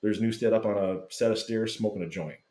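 Deep-voiced man telling a story, roomy sound. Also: fairly quick. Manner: animated, swearing, acts out quotes. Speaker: podcast guest telling a fan story. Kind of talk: casual storytelling chat. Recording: roomy echo.

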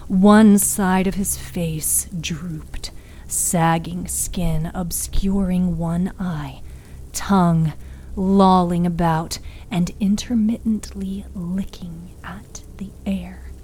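A woman narrating a dark story in a hushed, breathy, suspenseful, dramatic manner. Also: fairly low pitch, steady tone, a little hiss or hum. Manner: slow, hushed, suspenseful delivery. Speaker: narrator and author of an audio fiction podcast.

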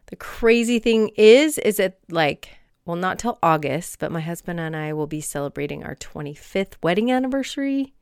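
A woman in her forties hosting her own podcast, chatting in a rambling, friendly way with soft laughs, a little hoarse from a cold. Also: lowish voice, animated, normal speed, dry recording, clean studio sound. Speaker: podcast host. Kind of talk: casual solo podcast chat.

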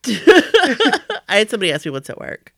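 A woman's voice: mid-range voice, wildly swooping pitch, slow, a bit bright, dry recording, studio quality. A woman co-hosting a casual podcast, telling anecdotes and laughing often. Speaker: podcast co-host. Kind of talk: casual chat. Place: basement room under an air conditioner.

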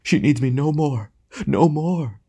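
Audiobook villain, performed by a male narrator: ghostly ham tragedian, bullying and self-pitying, loud declamation pitched well up, swooping. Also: animated, moderate pace, natural voice. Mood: choking despair. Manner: declamatory, melodramatic, bombastic. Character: bullying theatrical tragedian phantom, grandiose wounded self-pity. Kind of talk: dramatic audiobook character acting.

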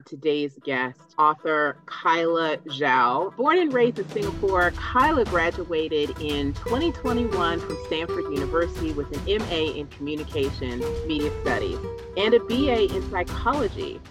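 A woman's voice: low-pitched, expressive, moderate pace, loud music behind the voice. A woman podcast host introducing a show in a conversational, appreciative way. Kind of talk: podcast introduction, chatty with some reading from notes.

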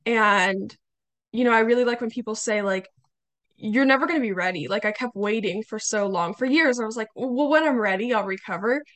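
A young woman hosting a podcast interview, her voice slightly hoarse, chatting casually with many fillers. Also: fairly high pitch, brisk. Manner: chatty, warm hesitations with fillers. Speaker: podcast host.

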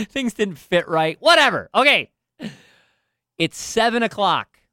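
Man, fairly high voice, lively rises and falls, self-deprecating storyteller, dry close sound. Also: slow pace, dry recording. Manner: animated, self-deprecating storyteller with frequent restarts. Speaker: finance professional. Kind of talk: casual storytelling chat.

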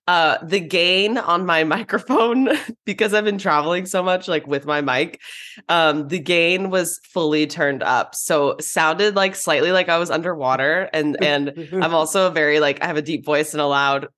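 A woman's voice: low-pitched, moderate pace, a bit bright. A young woman co-hosting a casual podcast, bubbly and giggly, full of "like"s. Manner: bubbly, giggly, says like a lot. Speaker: podcast co-host and dance teacher. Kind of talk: casual chat.

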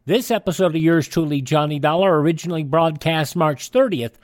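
A man hosting a radio show with scripted links. His voice sits a little high, and the sound is dry and close. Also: brisk, no echo.

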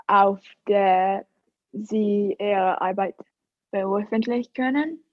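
Very slow, mellow teen girl. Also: medium pitch, no echo, muffled recording. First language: Greek. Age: teens. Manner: deliberate, searching for words. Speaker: student podcaster. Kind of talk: casual chat.

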